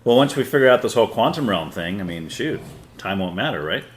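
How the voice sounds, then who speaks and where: A man hosting a relaxed podcast chat in a deep, low voice. His pitch rises and falls widely as he reacts. He talks loosely, with easy slang and quick bursts of excitement. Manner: laid-back surfer-style slang, excitable. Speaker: podcast host. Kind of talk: casual chat.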